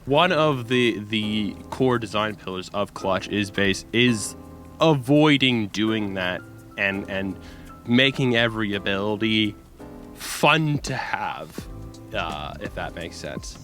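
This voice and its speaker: A man with an American accent and a fairly low voice chats as a guest game designer. He speaks casually and haltingly, feeling for his words, while music plays clearly behind him. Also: lively intonation, fairly bright tone. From California, United States. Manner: halting, self-deprecating, searching for words. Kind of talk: casual, unscripted interview.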